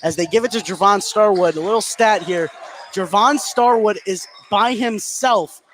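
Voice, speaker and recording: A man doing live radio play-by-play of a basketball game, following the action continuously and getting excitable on big plays. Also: sits high, moderate pace. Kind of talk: live radio sports commentary. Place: courtside in a sports gym during a game. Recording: clear gym noise behind voice.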